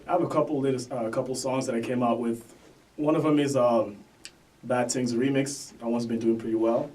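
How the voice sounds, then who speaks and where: A man's voice: medium pitch, steady tone, a little fast, warm tone, recorded from a distance, poor audio. A young man from Canada, a guest rapper talking casually in an interview, loose and laid-back with fillers.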